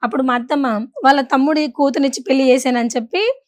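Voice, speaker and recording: Woman, high voice, fast deferential answers, dry sound. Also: fairly even intonation, no echo. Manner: earnest, answers deferentially with "ma'am" and "sir". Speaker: wife seeking help on a talk show.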